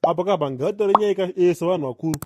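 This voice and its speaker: A man's voice: high voice, racing, a little hiss or hum, close to an everyday voice. A man voicing a pompous, self-important cartoon police investigator with a Zimbabwean accent, peppering his talk with filler tags. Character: pompous male police investigator boasting about bomb disposal.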